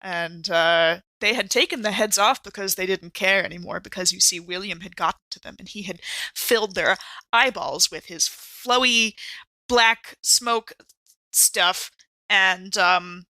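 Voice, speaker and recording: A woman playing a wry, exasperated survivor in an improvised horror audio drama, with a halting, sardonic delivery. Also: mid-range voice, pushed and bright, dry recording, poor audio. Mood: uneasy, wry.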